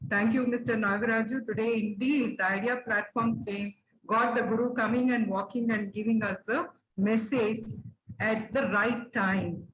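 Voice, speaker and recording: A woman's voice: fairly high pitch, fairly even intonation, normal speed. A woman business coach giving a formal vote of thanks in an effusive, reverent manner. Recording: roomy with faint noise.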